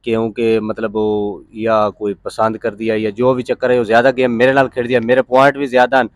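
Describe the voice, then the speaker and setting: A man's voice: medium pitch, level tone, a little fast, no room sound. A man from Pakistan with a Punjabi accent, telling his story casually as an interview guest in a rambling, plain-spoken way.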